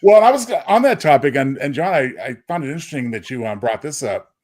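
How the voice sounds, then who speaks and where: A man's voice: medium pitch, expressive, fast, a little mellow. A man co-hosting a podcast, talking in a casual, analytical way. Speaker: podcast co-host.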